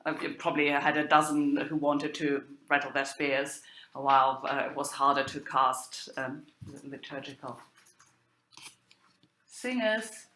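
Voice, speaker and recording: Slow, halting woman lecturer, echoey room. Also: deep voice, pushed and bright, a little hiss or hum. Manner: halting delivery with long hesitations. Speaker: lecturer, university professor. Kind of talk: spoken lecture on old drama texts.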